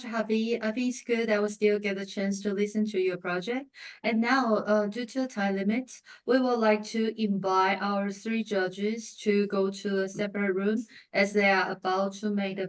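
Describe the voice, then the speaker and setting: A woman's voice: mid-range voice, rough recording. A woman hosting an online event over a video call, polite and informal as she organizes things aloud. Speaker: event moderator.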